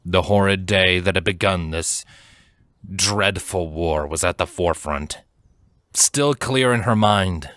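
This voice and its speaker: A man reading scripted dramatic fiction as a narrator, in a measured, solemn storytelling manner. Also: deep voice, a bit bright, no room sound.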